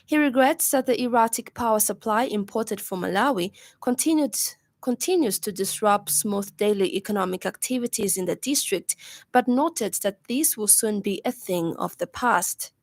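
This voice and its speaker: A woman's voice: medium pitch, lively intonation, normal speed, no echo. Zambian woman reading radio news, dry studio sound. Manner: formal. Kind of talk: scripted news bulletin reading.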